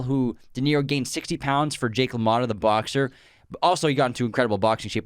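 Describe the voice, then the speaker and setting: Young male podcast co-host, smooth clear voice, dry close sound, chatty trivia reader. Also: mid-range voice, dry recording. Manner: chatty, reads out film trivia. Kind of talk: casual film chat.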